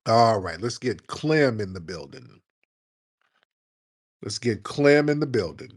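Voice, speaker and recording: A man's voice: fairly low pitch, animated, slow pace, husky. A man hosting a live call-in stream, mocking and combative, tacking a pointed "sir" onto his jabs. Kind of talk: confrontational call-in show.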